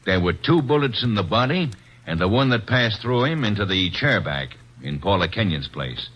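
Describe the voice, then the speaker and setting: A husky police inspector. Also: male voice, fairly low pitch, very animated, moderate pace. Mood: reporting. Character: gruff male police inspector, matter-of-fact. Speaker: homicide inspector. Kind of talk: 1940s radio acting. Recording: muffled old radio broadcast.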